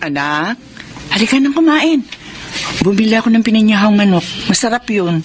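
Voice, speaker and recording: A woman's voice: medium pitch, lively intonation, moderate pace, loud music behind the voice, close to an everyday voice. A Filipino actress playing a meek, doting, anxious middle-aged mother, hesitant and pleading, in a radio melodrama. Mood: concerned. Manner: hesitant, pleading, soft-hearted. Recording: noisy radio drama recording.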